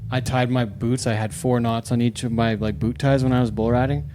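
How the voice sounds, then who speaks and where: Casual male podcast host, medium pitch. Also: fairly even intonation, brisk, background noise. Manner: informal, rambling questions. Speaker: podcast host, former bull rider. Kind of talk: casual interview chat.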